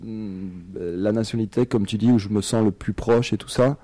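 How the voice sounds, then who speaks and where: A man's voice: lowish voice, fairly even intonation, normal speed, mellow, no room sound. A French-raised man, a singer and musician, answering interview questions in a loose, hesitant way with many fillers and small laughs. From France. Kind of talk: casual radio interview.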